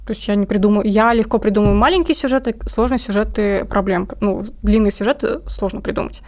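A woman's voice: medium pitch, fast, darkish tone. A woman speaking casually and candidly as an interview guest, informal and often correcting herself mid-sentence.

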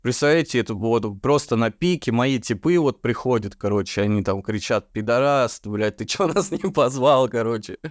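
A man with a baritone voice, a podcast guest telling stories in casual, slangy, swearing chat and laughing often. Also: lively intonation, moderate pace, hardly any pauses, no echo, studio quality.

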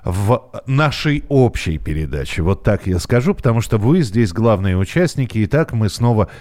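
A man's voice: on the low side, sing-song, moderate pace, a little mellow. Middle-aged man, rambling radio host. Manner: rambling, hesitant, self-correcting; conversational, addresses listeners directly. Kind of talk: casual live radio call-in monologue.

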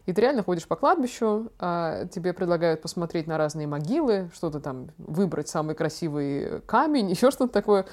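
A young woman hosting a podcast, chatting casually and telling personal stories in an expressive, slangy way. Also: on the low side, brisk, hardly any pauses. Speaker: podcast host. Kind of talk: casual chat, personal storytelling.

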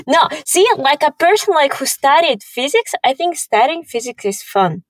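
A woman's voice: high voice, expressive, slow pace, a bit bright, dry recording. Chatty Kazakh-born woman, often laughing. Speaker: podcast co-host, assistant professor. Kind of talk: casual podcast chat.

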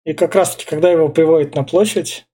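A man's voice: on the high side, steady tone, quick pace, warm tone, husky. A man chatting casually and colloquially about a film as a podcast co-host.

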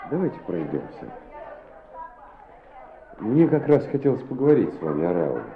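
A man playing an energetic, exacting but good-natured school director in a radio play, emphatic and instructive in his speech. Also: lowish voice, normal speed, a faint crowd. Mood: measured, tactful. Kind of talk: Soviet-era radio play acting. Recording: muffled, echoey old radio recording, background bustle.

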